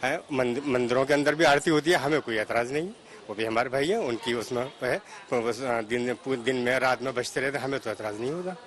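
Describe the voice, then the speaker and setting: A man giving a spontaneous interview answer, halting and repeating his phrases. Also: medium pitch, a bit bright. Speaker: member of the public interviewed. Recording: faint ambient noise.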